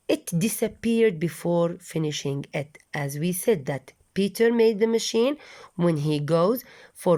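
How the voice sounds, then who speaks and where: A woman teaching English reads a children's story aloud in a low, mellow voice. She stops often to explain words, rephrasing each idea patiently with simple synonyms, like a teacher walking a class through the text.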